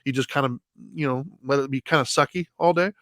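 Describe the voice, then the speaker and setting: A man co-hosting a casual talk show, explaining sleep habits in an easygoing way, often halting and restarting his sentences. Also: mid-range voice, fairly bright tone, no room sound, studio quality. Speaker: podcast co-host.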